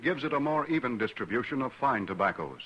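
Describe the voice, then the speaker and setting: A man reading a scripted radio commercial as a smooth, persuasive sales pitch. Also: lively intonation, brisk, lo-fi sound. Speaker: announcer.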